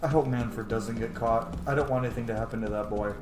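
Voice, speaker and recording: Casting: casual male gamer in his thirties, chatty and sweary, mid pitch, echoey room, game music behind. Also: steady tone, fast talker, background noise. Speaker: gaming streamer. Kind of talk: casual let's play commentary. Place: small home room, indoors. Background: game music and sounds.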